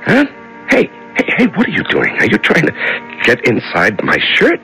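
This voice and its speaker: Desperate, gravelly prisoner, wildly swooping pitch. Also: male voice, wildly swooping pitch, pushed and bright, faint music behind, exaggerated voice, poor audio. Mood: startled, tender. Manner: halting, repeats words, talks tenderly to himself and a mouse. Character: desperate lonely male prisoner in solitary darkness, fragile sanity. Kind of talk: 1970s radio drama acting, dramatic monologue.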